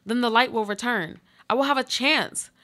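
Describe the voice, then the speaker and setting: A woman acting in a live radio play as a trapped woman stubbornly clinging to life, speaking in solemn, deliberate monologue. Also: a little high, pushed and bright. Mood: pleading, urgent.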